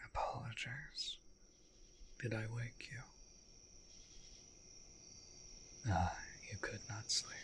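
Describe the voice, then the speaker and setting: Slow, low-voiced courtly vampire acted by a man. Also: fairly even intonation, noisy background. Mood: soft, guarded. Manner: slow, formal, hushed, tender speech. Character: wounded, courtly male vampire, tender and protective. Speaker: voice actor in a romantic audio roleplay. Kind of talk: intimate fantasy audio drama acting, often whispered.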